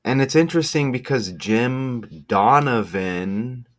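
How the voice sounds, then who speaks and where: A man presenting an online video in a casual, off-the-cuff way, halting and emphatic, often checking in with the listener. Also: medium pitch, lively intonation. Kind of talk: casual off-the-cuff explainer with numerology.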